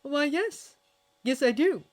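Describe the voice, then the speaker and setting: A woman's voice: high voice, animated, takes it slowly, pitched above the performer's own voice. Lady doing a playful young guy, awkward and defensive, bouncy voice. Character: awkward, joking young male blacksmith. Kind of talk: fan fiction reading.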